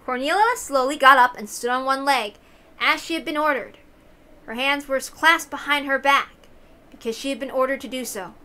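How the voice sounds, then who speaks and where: A woman's voice: high-pitched, normal speed, pushed and bright. A woman reading a visual novel aloud and adding casual commentary of her own.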